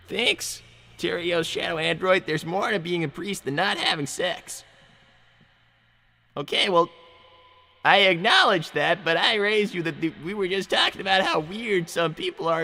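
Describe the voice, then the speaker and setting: An excitable young cartoon fox, high-pitched and bright, with wide swings in pitch. He is anxious and flustered, stammering and repeating himself as he goes. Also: vocal fry, full character voice. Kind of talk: improvised character roleplay on a livestream.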